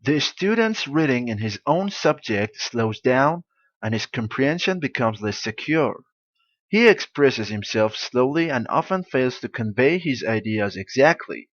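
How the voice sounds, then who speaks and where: A man reading exam practice passages aloud in a measured, careful way. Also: mid-range voice, sing-song, dry recording, dull audio. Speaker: model reader for exam practice.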